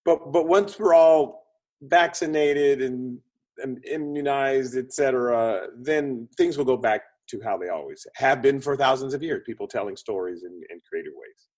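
Chatty mature man with a mid-pitched voice. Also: moderate pace, a little mellow. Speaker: podcast guest, film producer and script consultant. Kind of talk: casual interview answers.

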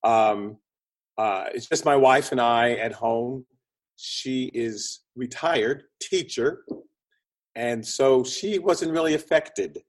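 A middle-aged or older man answers questions as an interview guest. His voice sits at an average pitch. He talks in a casual, chatty way, explaining and rephrasing as he thinks aloud. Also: slow. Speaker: podcast guest, film producer and script consultant.

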